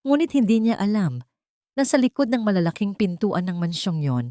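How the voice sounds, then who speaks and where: Lowish-voiced woman narrating a drama. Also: darkish tone, no echo, studio quality. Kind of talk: scripted dramatic story narration.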